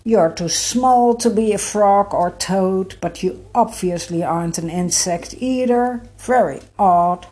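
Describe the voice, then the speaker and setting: A woman voicing a huge, pompous grandfather frog god in a storybook, measured and matter-of-fact. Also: medium pitch, warm tone, husky. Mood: bemused.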